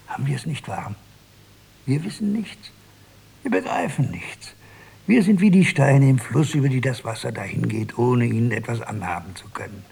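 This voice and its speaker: An actor playing a defiant, cranky old husband in his eighties, declaiming in a radio drama. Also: a little high. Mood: hushed, stubborn. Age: 80s. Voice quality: husky, gravelly. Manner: declamatory, swinging from shouts to whispers. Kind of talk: 1960s German radio drama acting.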